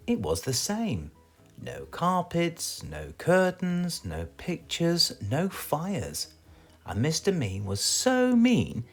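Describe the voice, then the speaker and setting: A man's voice: a little high, slightly rough. A man with a British accent reading a children's story aloud as a storyteller.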